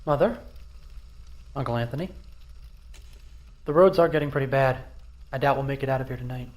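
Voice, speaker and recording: A man's voice: mid-range voice, fairly quick, natural voice. A man playing a blunt, skeptical young adult son in an audio drama, wry but dutiful. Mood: plain. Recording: faint ambient noise behind.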